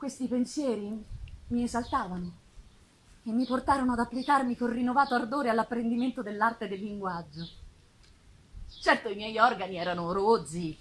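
A woman gives an expressive, deliberate dramatic reading of a gothic novel in first person, pausing for effect. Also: a little high, poor audio. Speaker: actress giving a literary reading. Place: live performance at a theatre festival.

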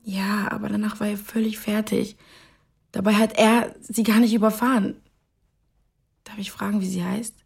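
A woman's voice: medium pitch, little pitch movement, moderate pace, creaky, long pauses, darkish tone, slightly rough. An actress playing a shaken young girlfriend, defensive and sad, giving hesitant answers in a radio drama.

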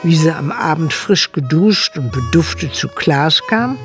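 A man's voice: a little high, lively intonation, measured pace. A man narrating a radio drama as a plain-spoken village storyteller, in a colloquial, dry tone with music underneath. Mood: wry. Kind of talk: folksy scripted narration.

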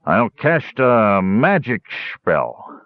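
A big, drunken would-be magician acted out by a man in a storybook reading. The delivery drags solemnly and rambles, with wide swings in pitch. The sound is slightly dull. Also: big pitch swings, extremely slow, no room sound, full character voice.

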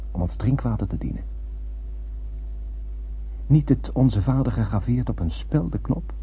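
An actor playing a wistful spaceman who narrates his voyage in a radio play, lyrical and deliberate, with poetic wonder. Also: lowish voice, breathy edge, full character voice. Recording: narrow, tinny old radio broadcast.

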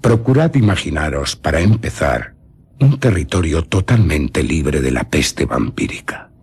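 An actor playing an eccentric old baron and vampire scholar in a Castilian Spanish radio drama, telling his tale dramatically with lingering pauses and a very raspy voice. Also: a bass voice, expressive, darkish tone, full character voice. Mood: grave, instructive. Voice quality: very husky, raspy.

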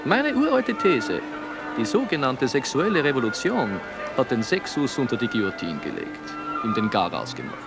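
An opinionated man holds forth in a conversational radio play. He is emphatic and lecturing, with a gravelly, highly animated delivery over loud music. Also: normal speed. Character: opinionated man holding forth provocatively on seduction.

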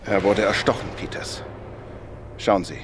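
A man's voice: on the low side, measured pace, noisy background, lightly acted. An actor playing an alert, deferential junior policeman in a radio play. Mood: alarmed, dutiful.

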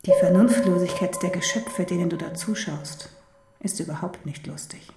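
A woman in a radio drama playing a grave, protective mentor who explains things in a measured way. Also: on the low side, heavy background noise, lightly acted. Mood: grave, explaining. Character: grave protective mentor warning a young one. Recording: studio voice over clear music.

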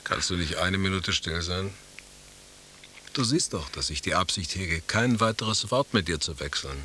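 An actor playing a grumpy, sardonic husband in a radio play, with dry, mocking, formal diction. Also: male voice, sits low, animated, moderate pace, pushed and bright. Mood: irritated. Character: grumpy, sardonic husband in a bickering beach conversation piece. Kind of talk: German radio play acting. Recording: faint tape hiss.

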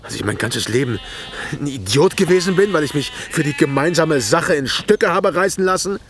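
An actor playing an embittered, defiant herder at breaking point in a radio drama, bitter, explosive and sarcastic. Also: male voice, a little high, lively intonation, normal speed, a little hiss or hum. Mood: bitter, seething.

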